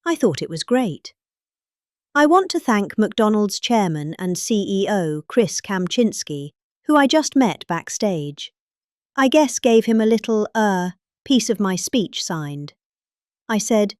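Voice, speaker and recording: A woman's voice: medium pitch, big pitch swings, a little slow, a little mellow, dry recording, studio quality. A middle-aged woman with a British accent giving a dramatic comic reading of a speech, pompously, with exaggerated emphasis. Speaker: performer reading out a political speech.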